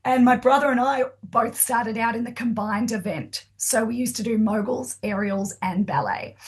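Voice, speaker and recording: A woman's voice: fairly high pitch, moderate pace, slightly rough. An Australian woman whose first language is Swiss German, telling her story with chatty enthusiasm as a guest in a podcast interview.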